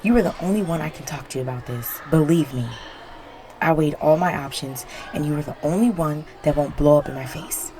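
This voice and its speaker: A young woman voicing a bratty, bossy popular high school girl in an intimate audio roleplay, whiny and exasperated, with desperation underneath. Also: a little fast, a little mellow, natural voice. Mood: exasperated, impatient. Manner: whiny, sighing, pushy.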